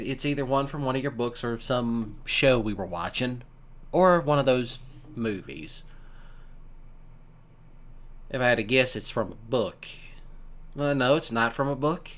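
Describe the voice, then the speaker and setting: A man's voice: mid-range voice, fairly bright tone, close to an everyday voice. A man playing an easygoing, affectionate country boyfriend in an ASMR roleplay, chatting casually and teasingly with his sweetheart. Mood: amused, curious. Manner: casual, teasing, folksy, with pauses. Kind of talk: ASMR boyfriend roleplay, one-sided conversation.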